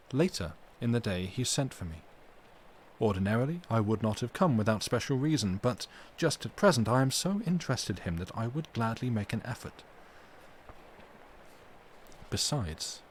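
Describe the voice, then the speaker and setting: A man narrating a gothic novel aloud for a livestream, in a measured, expressive storytelling manner. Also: mid-range voice, slight background noise. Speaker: audiobook reader on a livestream.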